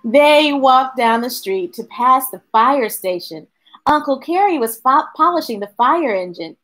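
Slow, animated woman reading a storybook. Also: sits high. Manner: expressive, drawn-out delivery addressing young listeners. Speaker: storytime host reading a picture book aloud. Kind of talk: read-aloud storytelling with chatty asides to children. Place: small indoor room with bookshelves.

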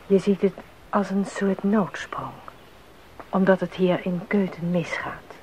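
An actress plays a composer's gentle wife in a radio drama, a woman with a low voice. She questions intimately, with concern. Faint noise runs behind her. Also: unhurried, close to an everyday voice. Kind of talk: Dutch radio drama acting. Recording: faintly noisy 1980s radio recording.

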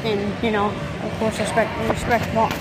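Teen vlogger, high voice, rambling and giggly; noisy crowded hall. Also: male voice, monotone, moderate pace. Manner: rambling, repeats himself, giggly. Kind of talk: casual handheld chat. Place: indoor sports complex, large and noisy. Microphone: handheld phone, swinging. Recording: loud crowd and noise behind.

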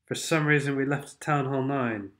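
A man's voice: mid-range voice, normal speed, fairly bright tone. A man with a stuffed-up nose from a cold, giving sardonic, rambling commentary over game replays. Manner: sardonic, rambling, sings snatches of songs. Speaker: gaming commentator.

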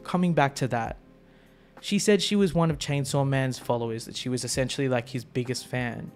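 A man in his thirties streaming a manga reaction, rambling and swearing casually into a close boom mic. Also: brisk, clear, resonant tone, soft background music. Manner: rambling, swearing, laughing often. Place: home streaming room.

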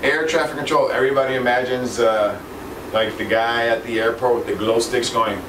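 A man's voice: medium pitch, fairly even intonation, a little fast. A middle-aged man casually explaining his job as an air traffic controller in an interview. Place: small office indoors. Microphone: camera mic at a distance. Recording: echoey room, distant mic, air-conditioning noise.

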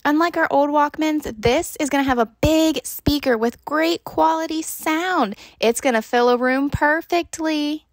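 High-voiced woman narrating a review, dry sound. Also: measured pace, hardly any pauses, no room sound. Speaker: product reviewer. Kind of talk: scripted product review narration.

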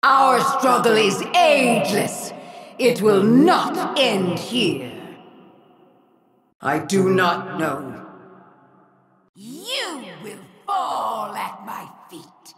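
Casting: imperious female alien game villain, menacing and grandiose, extremely drawn-out delivery, gravelly edge. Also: medium pitch, very animated, reverberant, a crowd in the background, full character voice. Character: vengeful, imperious female alien herald of an ancient race. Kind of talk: dramatic video game voice acting.

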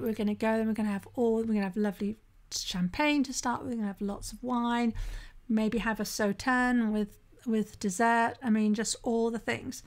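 A woman in middle age hosts her own podcast alone. Her voice sits a little high, and she talks in a loose, conversational way, stopping to restart a phrase and asking "right?" to keep the listener with her. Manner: conversational, often asks "right?", occasional restarts. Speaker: podcast host and sober-living coach. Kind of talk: solo podcast monologue.

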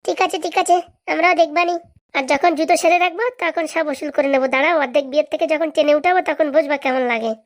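A high-voiced, bossy village woman from a comic cartoon, played by a woman. She talks very quickly, scolding and scheming like someone set on getting her money. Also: steady tone, natural voice. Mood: haggling, defiant. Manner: scolding, demanding, haggling.

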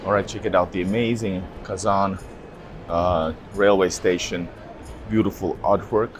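Deep-voiced man vlogging at arm's length. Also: fairly even intonation, a little slow, background noise. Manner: casual, thinking aloud with many fillers, addresses viewers as guys. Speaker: travel vlogger. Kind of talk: walking travel vlog. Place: outdoors at a city railway station, then inside a large station hall. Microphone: handheld camera at arm's length.

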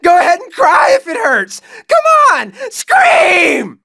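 A man's voice: extremely high pitch, huge pitch swings, slow pace, vocal fry, pushed and bright, shouting, full character voice. A man playing an arrogant, cruel young knight in a video game, mocking and theatrical. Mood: sadistic glee. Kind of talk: video game villain acting.

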